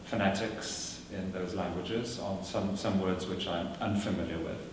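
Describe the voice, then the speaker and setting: A man whose first language is Welsh lectures in a measured, reflective manner, pausing often with fillers and restarting his sentences. His voice sits a little low. He is recorded from afar in a large, reverberant hall with noticeable background noise. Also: fairly even intonation. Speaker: performer giving a talk. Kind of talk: lecture answering audience questions. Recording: echoey hall recorded from a distance.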